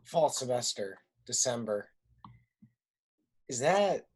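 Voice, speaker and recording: A woman's voice: rumbling low, lively intonation, slow, a little mellow, breathy edge, heavily acted. A woman playing a blunt, incredulous young college friend in a staged reading, teasing and sardonic. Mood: surprised. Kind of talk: live staged reading of a play over video call. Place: online video call staged reading.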